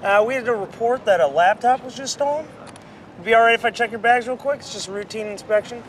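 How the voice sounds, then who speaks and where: A man playing a smug, nosy security officer with a deadpan, accusing manner. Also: very high voice, fast talker. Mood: brisk, polite. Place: outdoor shopping plaza. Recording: faint outdoor street noise.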